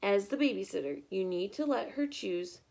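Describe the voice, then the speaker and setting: Gravelly-edged woman reading to children. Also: mid-range voice, moderate pace, poor audio. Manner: expressive, encouraging, teacherly. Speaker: teacher reading a picture book aloud. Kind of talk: children's read-aloud with questions to listeners.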